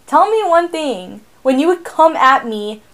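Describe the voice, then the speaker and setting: A teenage girl co-hosting a podcast, chatting casually in an animated, rambling way, often laughing. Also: high voice, measured pace. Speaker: podcast co-host. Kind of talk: casual chat.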